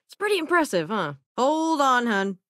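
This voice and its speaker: Sardonic young female crewmate, video game lines, casual, self-assured, animated pitch, dry close recording. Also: moderate pace, no room sound. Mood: wry, relaxed. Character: sardonic young woman spaceship crewmate and researcher.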